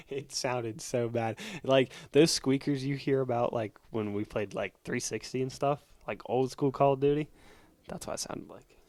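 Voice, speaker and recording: A young man co-hosting a casual comedy podcast, telling animated stories and laughing often. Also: mid-range voice, normal speed, dry recording. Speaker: podcast co-host.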